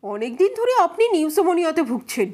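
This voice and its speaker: A woman's voice: very high voice, big pitch swings, fast, darkish tone, voice pushed up. A woman voicing a probing male defence advocate in an audio story, questioning witnesses in a formal, insistent, pointed way. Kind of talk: dramatized courtroom questioning.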